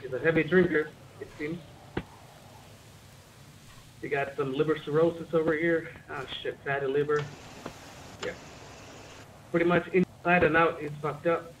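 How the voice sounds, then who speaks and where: A man's voice: on the high side, steady tone, normal speed, pauses often, lo-fi sound. A man playing a medic in casual, improvised game roleplay, muttering commentary as he examines and swearing now and then. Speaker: roleplay player acting as a medic. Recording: noisy game voice chat.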